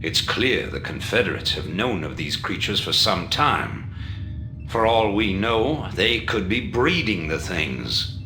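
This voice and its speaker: An actor playing a calculating rebel leader in a video game, giving briefings in a measured, formal, authoritative way. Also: male voice, on the low side, sing-song, over music, exaggerated voice. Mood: grave, commanding. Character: commanding, calculating older male rebel leader.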